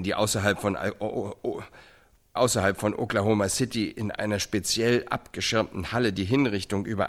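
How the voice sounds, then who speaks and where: Radio-drama studio anchor: mid-pitched, very lively intonation, grave and emphatic. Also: male voice. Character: earnest, theatrical news anchor of a reality show. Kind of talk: radio drama news presenting.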